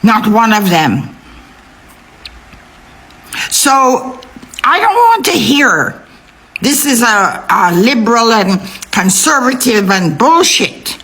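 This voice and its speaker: A woman giving impassioned political commentary in an emphatic, indignant manner, repeating words deliberately for weight. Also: on the high side, big pitch swings, fairly bright tone, breathy edge, poor audio.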